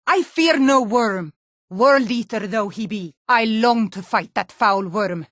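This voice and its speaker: A woman's voice: on the high side, takes it slowly, fairly bright tone, dry recording, studio quality. A woman playing a proud, hearty Nord warrior in a fantasy game, declaiming solemnly in lofty, archaic, bardic phrases.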